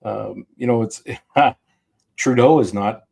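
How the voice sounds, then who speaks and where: A man's voice: on the low side, slow pace, gravelly, darkish tone, slightly rough. A man hosting a casual podcast, blunt and opinionated, swearing freely as he talks. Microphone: remote call mic.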